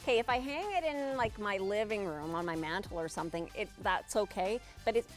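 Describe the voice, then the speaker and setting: A woman hosting a casual festive show, chatting in an expressive, exclaiming way. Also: medium pitch, brisk, faint music behind. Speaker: show host.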